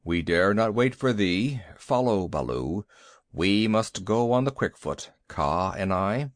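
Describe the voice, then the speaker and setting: A man voicing a quick-witted, courteous yet commanding panther in a storybook, with formal archaic phrasing. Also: fairly low pitch, very animated, no room sound, clean studio sound, voice pushed down.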